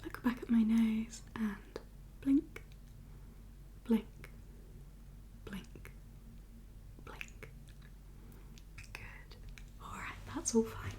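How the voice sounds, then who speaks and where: A woman whispering as a doctor in an ASMR exam roleplay, giving gentle, reassuring instructions in a breathy voice. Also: on the high side, extremely slow, pauses often. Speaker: ASMR performer playing a doctor.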